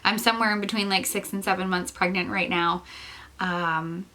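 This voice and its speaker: Young female vlogger, bright tone, nasal from congestion, slightly breathless, loose chatty delivery full of "like" and "um". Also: fairly low pitch, steady tone, moderate pace. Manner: chatty, rambling with frequent "like" and "um"; laughs often between phrases. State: stuffy, congested nose; short of breath. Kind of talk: casual chat to camera.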